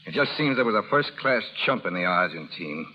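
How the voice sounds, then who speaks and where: A proud, wry rancher played by a male actor with slangy tough talk and widely moving pitch. It sounds like a dull 1930s radio recording with faint noise. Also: animated, fairly quick, lightly acted. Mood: bitter, wry. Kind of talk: 1930s radio drama acting.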